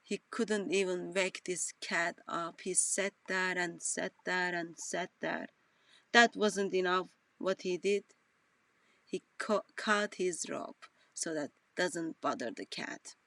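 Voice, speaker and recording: Bright, dry ironic woman. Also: lowish voice, steady tone, vocal fry, no echo, recorded from a distance. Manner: dry, ironic and slow-paced. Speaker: co-host of an online show. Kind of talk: sarcastic online discussion.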